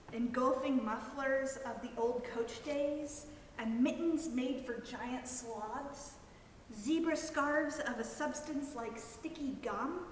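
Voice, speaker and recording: A woman reading a literary story aloud as a measured dramatic narration. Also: sits high. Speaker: reader in a church's dramatic reading. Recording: very echoey hall, distant mic, faint noise.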